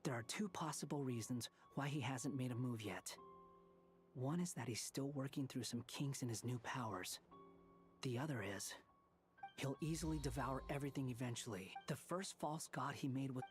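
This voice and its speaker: A man voicing a cool, wry young schemer in a video game, with a measured, analytical delivery. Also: mid-range voice, soft background music, natural voice.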